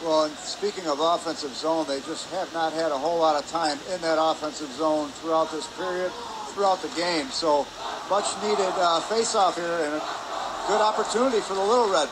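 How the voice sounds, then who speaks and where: A man's voice: fairly high pitch, normal speed. A man giving live hockey analysis as a color commentator, conversational with hesitant phrasing. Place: press area above rink in an indoor arena. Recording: noisy ice arena, steady background din.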